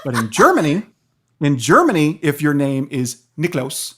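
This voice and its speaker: A man's voice: fairly high pitch, sing-song, normal speed, sharp tone, slightly rough. A man speaking as a guest professor in an interview, explaining things in a professorial way and checking in with listeners.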